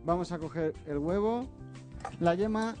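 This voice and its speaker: A man with a slight Catalan accent demonstrating a recipe as a guest chef in a television kitchen. He explains each step patiently and often checks in with a quick "eh?". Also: high-pitched, mellow. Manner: explains step by step, tags phrases with "eh?". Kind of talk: cooking demonstration. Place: TV studio kitchen. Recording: faint background music.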